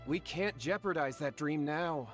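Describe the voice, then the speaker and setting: A man's voice: on the high side, measured pace, natural voice. An actor playing an anxious, deferential young man in a video game, speaking in an earnest, polite and imploring way. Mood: insistent. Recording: background music under the voice.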